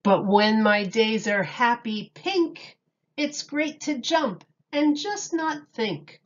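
Female storyteller for kids' picture book; unhurried, expressive, mid-pitched, slightly dull lo-fi sound. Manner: slow, deliberate, dramatic emphasis on key words. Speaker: teacher reading a picture book aloud. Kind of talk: expressive children's read-aloud.

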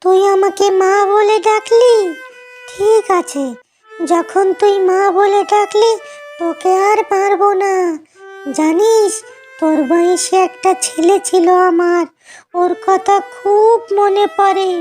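A man's voice: very high-pitched, fairly even intonation, slow, a little mellow, faint music behind. A man voicing a female ghost in a cartoon, by turns threatening and protectively motherly. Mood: wistful. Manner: threatening, then protective and tender. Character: menacing but motherly female ghost, wronged wife. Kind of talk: Bengali cartoon ghost-story acting.

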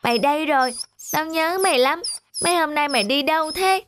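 A woman's voice: high voice, a little fast, background noise, lightly acted. A woman voicing a plucky, possessive young boy in a cartoon, with a direct, outspoken, childlike delivery. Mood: worried, affectionate. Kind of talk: Vietnamese cartoon dubbing.

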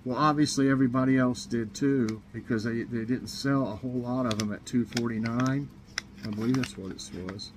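A man's voice: medium pitch, steady tone, normal speed, background noise. Chatty middle-aged man rambling to camera. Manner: rambling, chatty, many ums and restarts. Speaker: vlogger showing a grocery haul. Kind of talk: casual chat to camera. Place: small kitchen indoors.

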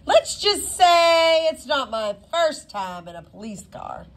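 A woman's voice: high-pitched, big pitch swings, slow pace, fairly bright tone, pitched above the performer's own voice, heavily acted. A woman voicing a cheeky, self-assured schoolgirl in a storybook, with dry, teasing emphasis. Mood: smug teasing.

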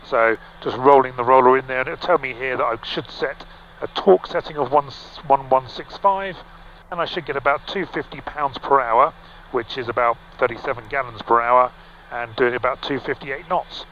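A middle-aged man piloting a small aircraft, casually explaining the cockpit over a headset boom mic, relaxed, with frequent fillers and restarts. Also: moderate pace. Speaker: pilot and vlog host. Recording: slightly dull, with faint engine hum.

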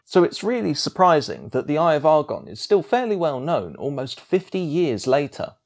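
A man's voice: a little high, huge pitch swings, normal speed, creaky, soft background music. A man reading scripted narration as a video essayist, with a wry, emphatic delivery.

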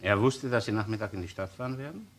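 A man's voice: lowish voice, fast, natural voice. An actor playing a wily, insistent Austrian police chief inspector in a crime drama, needling and sarcastic as he interrogates. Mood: pressing. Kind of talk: crime radio or TV drama acting.

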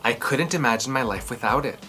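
A man in his thirties hosting a children's read-aloud, telling stories and chatting in a theatrical, emphatic way with drawn-out words. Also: mid-range voice, pushed and bright, soft background music. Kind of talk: expressive storytelling and chatty commentary for children. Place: small furnished room indoors.

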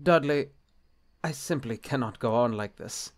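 A man's voice: mid-range voice, a little slow, natural voice. Stiff, anxious bishop, played by a man. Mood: exasperated. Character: stiff, exasperated, anxious male bishop and husband. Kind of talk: film-adaptation audio drama acting.

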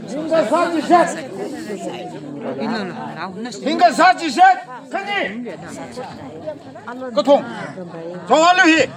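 A man's voice: very high-pitched, expressive, drawn-out words, pauses often. A middle-aged man working as an auctioneer outdoors, calling out and repeating bids to urge buyers on, recorded on a phone from within the crowd. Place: open churchyard outdoors, among a crowd. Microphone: phone camera in the crowd, a few meters off. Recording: crowd chatter behind.

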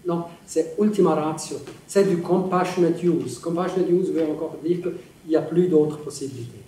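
A middle-aged man, a professor answering audience questions in an academic, halting way with many pauses, in a large lecture hall. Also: fairly high pitch, dark tone. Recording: echoey hall, distant, some noise.

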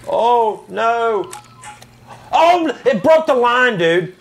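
A man's voice: extremely high pitch, sing-song, very slow, slight background noise. A man playing a video game and commentating casually, in an exasperated, self-deprecating, slangy way. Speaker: video game player and channel host.

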